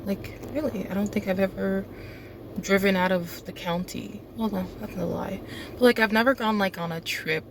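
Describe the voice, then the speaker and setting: A woman's voice: medium pitch, fairly even intonation, normal speed, slight background noise. A woman in her twenties, sleep-deprived, talking casually to a phone camera as she vlogs from an airport terminal, rambling and chatty with lots of fillers. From South Florida. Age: 20s. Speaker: vlogger and night shift nurse. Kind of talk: casual vlog chat.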